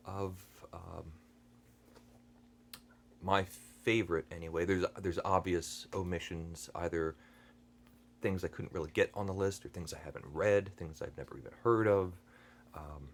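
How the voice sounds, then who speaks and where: A man with a very low, deep voice talks slowly and unhurriedly about books he loves. He is hesitant and earnest, filling pauses with ums, restarting phrases and clearing his throat often. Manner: thoughtful and earnest. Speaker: book channel host. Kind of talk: unscripted book review talk.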